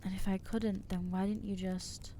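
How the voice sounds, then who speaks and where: A woman's voice: medium pitch, level tone, moderate pace, dark tone, slight background noise, close to an everyday voice. A woman voicing an anxious, self-loathing young female vampire in an ASMR audio roleplay, talking hesitantly and putting herself down. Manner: hesitant, self-deprecating, trailing off.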